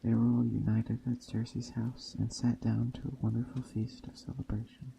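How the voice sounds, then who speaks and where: A man softly reading a story in a hushed, gentle ASMR storytelling style. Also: mid-range voice, flat delivery, moderate pace, gravelly, noisy background. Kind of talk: soft-spoken ASMR reading of a Greek myth tale. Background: soft nature ambience.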